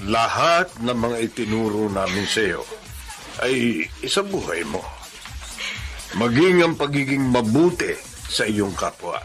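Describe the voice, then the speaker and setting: An actor in a radio drama playing a gentle, sentimental father who gives his daughter advice. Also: mid-range voice, very animated, unhurried, pauses often, faint music behind. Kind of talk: classic radio drama acting.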